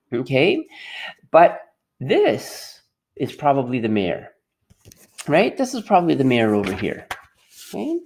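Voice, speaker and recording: A man reading a storybook aloud as a teacher, expressive and pausing to put questions to pupils. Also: fairly high pitch.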